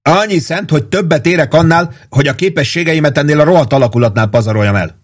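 A man's voice: mid-range voice, pitched above the performer's own voice, natural voice. A man voicing a vain, impatient and furious army sergeant in an audiobook, ranting in thundering tirades. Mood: boastful, angry. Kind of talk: dramatized audiobook dialogue.

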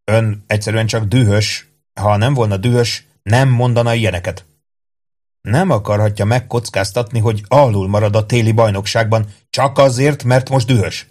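A man's voice: fairly low pitch, fairly even intonation, a little mellow, close to an everyday voice. A man voicing a scheming army first sergeant in an audiobook, speaking emphatically and with calculated earnestness. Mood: feigned shock.

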